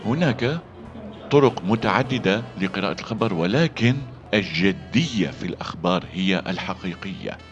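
A very deep-voiced man gives a voice-over lesson and stops often, with fillers and restarts between the lines he reads aloud. The sound is dull and lo-fi, with faint music underneath. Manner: halting, with many fillers and restarts between demonstrations. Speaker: voice acting coach.

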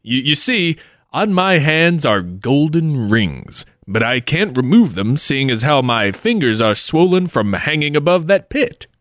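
An actor playing a defiant, wisecracking adventurer sidekick in a comic 1940s-style radio play. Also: male voice, on the high side, sing-song, unhurried, fairly bright tone, no room sound, studio quality. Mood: quick-witted. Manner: quippy, defiant.

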